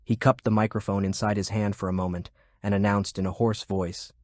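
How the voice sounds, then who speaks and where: A man narrating a fiction audiobook in a measured, serious storytelling style. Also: fairly low pitch, little pitch movement, a little mellow, no echo, studio quality.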